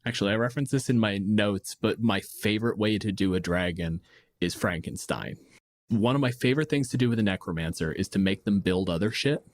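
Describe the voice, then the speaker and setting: A man's voice: lowish voice, fairly quick, dry recording, clean studio sound. A man co-hosting a podcast in casual discussion of tabletop games, opinionated and freely swearing. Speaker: podcast co-host.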